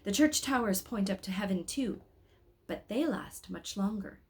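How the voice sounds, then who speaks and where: A solemn storybook wise man, read by a woman. He speaks in declarations, with steady gravity. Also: medium pitch, normal speed, close to an everyday voice.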